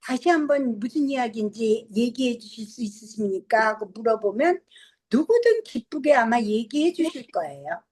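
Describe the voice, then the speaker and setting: A woman's voice: fairly high pitch, very animated, racing, a little mellow. A woman from South Korea with a Korean accent and Korean as her first language. She gives earnest, encouraging advice in casual conversation and repeats her key points. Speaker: guest.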